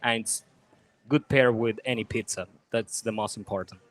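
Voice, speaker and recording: Halting, polite man from Venezuela. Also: fairly low pitch, fairly even intonation. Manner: halting, searches for words, polite. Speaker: bartender. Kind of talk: casual interview. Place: restaurant bar.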